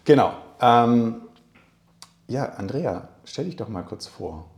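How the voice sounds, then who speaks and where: A woman's voice: a bass voice, animated, takes it slowly, darkish tone. A woman hosting a podcast in a casual interview chat, often hesitant and searching for her words. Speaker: podcast host. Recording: roomy echo.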